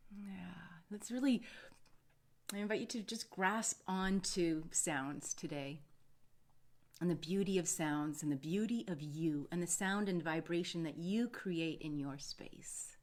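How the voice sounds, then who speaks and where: A woman hosts an informal guided meditation in a slightly low voice. She talks very slowly and soothingly, meandering through unscripted phrases and leaving pauses between them.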